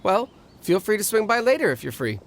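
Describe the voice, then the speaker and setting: A woman's voice: medium pitch, sing-song, brisk, slight background noise. A woman voicing a cheerful young drink stall owner in a video game who talks in a casual, chatty way. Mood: breezy.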